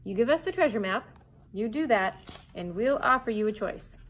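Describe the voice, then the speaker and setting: A woman's voice: on the high side, animated, moderate pace, a little hiss or hum, natural voice. A woman voicing a cheery, wily pirate captain in a storybook, hearty and folksy as he wheedles for a bargain. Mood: sly, insistent.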